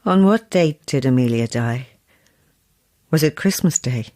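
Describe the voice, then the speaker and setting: Dry, guarded woman, widely varied pitch. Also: lively intonation, warm tone. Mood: uneasy. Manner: understated, dry, measured. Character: composed, sceptical woman novelist, dry and guarded. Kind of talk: dramatic audio fiction acting.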